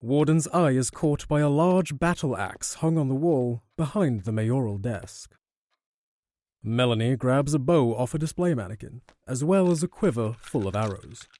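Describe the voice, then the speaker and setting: A man's voice: mid-range voice, moderate pace, mellow, no echo. A man delivering scripted narration for a fantasy audio drama.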